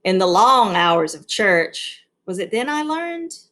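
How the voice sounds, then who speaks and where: A woman narrating a read-along novel with expressive, deliberate phrasing and an informal, explanatory touch. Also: medium pitch. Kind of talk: reading a novel aloud with informal explanatory asides.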